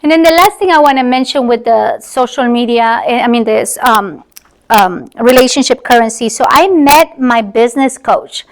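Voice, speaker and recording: A woman's voice: on the high side, moderate pace. A woman in her sixties with a Spanish accent, a native Spanish speaker and business owner, telling stories in casual conversation and dropping to a whisper for emphasis. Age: 60s. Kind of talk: casual chat.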